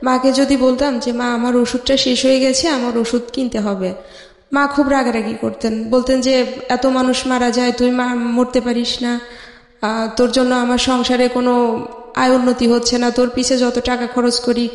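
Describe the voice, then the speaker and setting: An interview guest from Bangladesh telling a personal life story in a hesitant, halting way with frequent pauses. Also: medium pitch, little pitch movement. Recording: echoey hall with long reverb.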